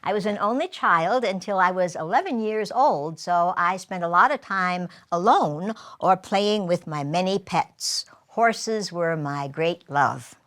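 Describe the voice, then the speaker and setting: A woman's voice: lowish voice, expressive, a little slow, few pauses, a bit bright. A woman voicing an earnest grown woman recounting her horse-loving farm girlhood, in live storytelling. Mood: fond reminiscing.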